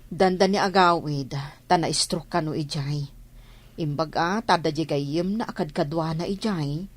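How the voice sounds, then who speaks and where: An actress playing a judgmental village gossip with a disapproving manner in a radio drama. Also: female voice, deep voice, unhurried. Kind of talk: regional radio drama acting.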